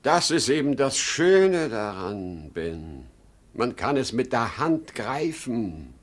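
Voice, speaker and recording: A man's voice: medium pitch, big pitch swings, slow. A man acting a desperate, proud, worn-out old travelling salesman in a 1950s radio drama, pleading and insistent, swinging between whispers and outbursts. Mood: excited, defiant.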